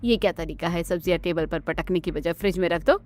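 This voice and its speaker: A woman's voice: on the low side, fast talker, a little mellow, faint music behind, natural voice. A woman playing a bossy, miserly Indian mother-in-law in a family cartoon, with a scolding, instructing manner. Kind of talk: Hindi family cartoon dialogue.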